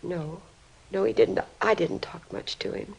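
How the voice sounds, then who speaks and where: An actress playing a distraught, disbelieving middle-aged American mother in an old radio crime drama, with a breathy, fragile voice. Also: medium pitch, measured pace, full character voice, poor audio. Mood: dazed, sorrowful. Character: distraught, grieving mother of a teenage boy, disbelieving.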